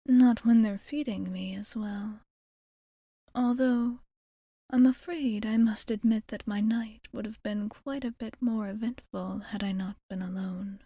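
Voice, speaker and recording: A woman playing a courtly, doting vampire bartender in a soft-spoken, partly whispered ASMR roleplay, with formal, genteel phrasing. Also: medium pitch, steady tone, unhurried, creaky, breathy edge, dry recording, clean studio sound. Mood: wistful, musing. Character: courtly, doting adult female vampire bartender, long-lived.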